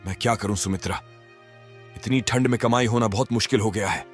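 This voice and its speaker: A man's voice: lowish voice, fairly quick, soft background music, close to an everyday voice. A man playing a humble, worried street food vendor and family man in a moral-story cartoon, speaking earnestly.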